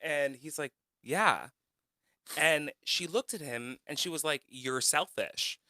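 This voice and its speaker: A man's voice: on the high side, slow pace, pushed and bright, no echo, clean studio sound. A man hosting a podcast, earnestly retelling a story and leading into a question. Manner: storytelling, earnest, quotes others. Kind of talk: podcast conversation.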